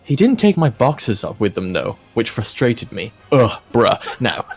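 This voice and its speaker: A man's voice: medium pitch, big pitch swings, moderate pace, breathy edge. A man doing a jokey read-aloud of fan fiction, dropping in casual asides as he goes. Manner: breaks into laughter and commentary while reading. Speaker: host of a fan fiction reading video.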